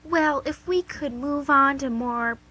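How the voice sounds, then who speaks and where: A woman's voice: sits high, slow, sharp tone, muffled recording, heavily acted. A woman playing a prim guidance counselor, polite but dryly skeptical, in an amateur comedic radio play. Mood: dryly exasperated. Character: prim, exasperated female student guidance counselor.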